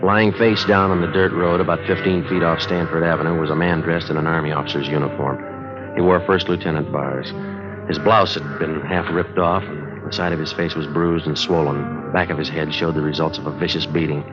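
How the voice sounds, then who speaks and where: Deadpan low-voiced police sergeant. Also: male voice, a little fast. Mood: grim, factual. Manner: deadpan, matter-of-fact, clipped. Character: terse, businesslike male police sergeant and narrator. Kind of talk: 1950s radio police drama acting, clipped narration. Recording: dull old radio recording with music.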